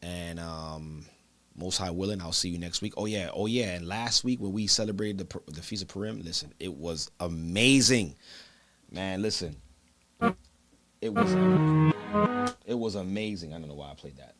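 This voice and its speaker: A man with a very low voice hosts a church broadcast in a loose, chatty way. He addresses his listeners as brothers and sisters. His tone is easygoing and conversational. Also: moderate pace, fairly bright tone, soft background music. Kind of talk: casual livestream talk.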